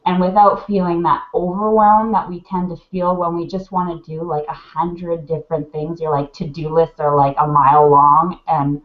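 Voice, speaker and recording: A woman's voice: lowish voice, flat delivery, normal speed, hardly any pauses. A woman on a video call gives heartfelt advice, rambling and full of fillers, a little sniffly from crying. The audio is muffled webcam sound in a roomy space. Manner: gushing, rambling, many "like" fillers. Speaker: mentor on a live video hangout. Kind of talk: heartfelt casual advice chat.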